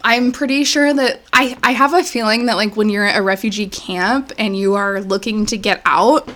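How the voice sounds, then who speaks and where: A woman's voice: mid-range voice, normal speed. A woman co-hosting a podcast, casually retelling a life story with lots of fillers. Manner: relaxed, filler-heavy retelling. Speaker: podcast co-host.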